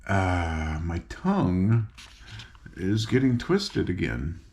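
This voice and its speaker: A man's voice: sits low, big pitch swings, slow, a little mellow. An older man reads a children's story aloud in an informal, folksy way, with chatty asides and stumbles over words. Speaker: audiobook reader.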